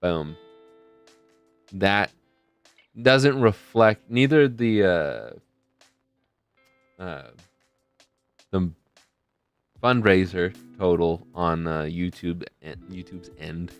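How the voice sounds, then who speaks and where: Deep-voiced male streamer, rambling. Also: extremely slow, long pauses, clean tone, soft background music. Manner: rambling, loses his train of thought. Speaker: streamer hosting a charity stream. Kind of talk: casual live-stream chat. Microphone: desk mic close to a face camera.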